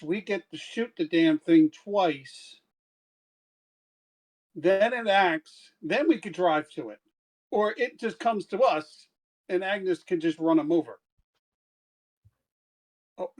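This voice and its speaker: A player thinking aloud about tactics in a tabletop game, deliberate and questioning. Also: mid-range voice, darkish tone, low-quality recording. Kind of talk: casual tabletop strategy talk.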